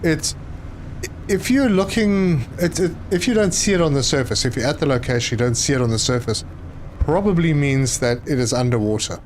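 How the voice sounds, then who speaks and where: A man, live streaming a game and chatting with viewers, often pausing with fillers. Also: on the high side, animated, a little mellow, a little hiss or hum. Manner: chatty, reads chat aloud, hesitant with fillers. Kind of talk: casual live stream commentary.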